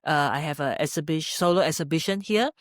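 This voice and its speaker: A middle-aged woman with a Singaporean accent, talking about herself as an interview guest, haltingly and often searching for her words. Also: low voice, no echo, clean studio sound. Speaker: podcast guest, street photographer. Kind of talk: conversational interview, personal storytelling. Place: indoors in a gallery.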